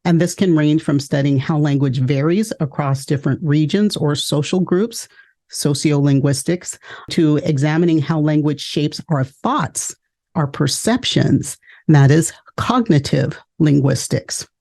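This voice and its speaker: A woman from California hosting an education webinar, speaking in a clear, measured, list-like way. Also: deep voice, a little mellow. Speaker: education consultant and podcast host. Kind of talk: scripted webinar presentation.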